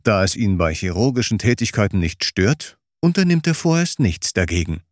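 A man narrating a scripted documentary in a measured, factual way. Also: fairly low pitch, sing-song, dry recording, studio quality.

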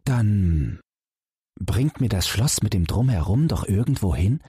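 A crafty servant from a fairy tale, scheming out loud in a slightly lifted, breathy voice, as a man voices him. The reading is unhurried and the recording is dry and close. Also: fairly low pitch, big pitch swings, no echo, pitched above the performer's own voice, exaggerated voice. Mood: sly. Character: sly adult male servant, scheming. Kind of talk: storybook reading.

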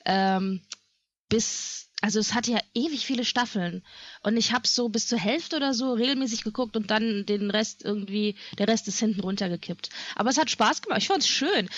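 A woman's voice: fairly high pitch, moderate pace, fairly bright tone, dull audio. A middle-aged woman, chatting casually and rambling as she reminisces on a podcast panel.

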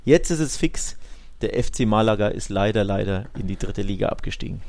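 A man's voice: on the low side, fairly quick, vocal fry, darkish tone, no echo. A man casually discussing football as a podcast co-host.

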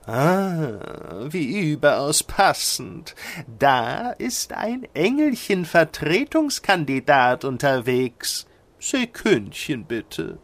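Actor as sly lil devil in a kids audio play, slow and sardonic, voice goes all over the place. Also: mid-range voice, sing-song, heavily acted. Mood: gloating. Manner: sardonic, theatrical, savoring words. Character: sly little devil, mischievous bad-advice giver. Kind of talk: fairy-tale audio drama.

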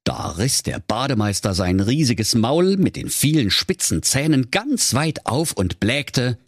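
A man's voice: mid-range voice, big pitch swings, a little slow, dry recording, studio quality. A man narrating a comic fairy tale as a storyteller, in a dramatic storybook manner. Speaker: storyteller, podcast host.

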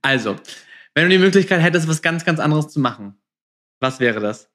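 A man's voice: a little high, lively intonation, fairly quick, a bit bright. A man hosting a casual interview, speaking informally and mixing in English words. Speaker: podcast host, interviewer.

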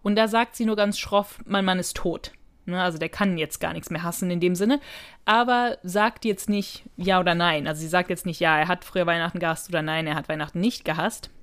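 A woman's voice: lowish voice, fairly quick. A woman co-hosting a podcast in casual conversation, chatty and colloquial, often giggly as she talks. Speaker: podcast co-host. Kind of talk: casual chat and plot retelling.

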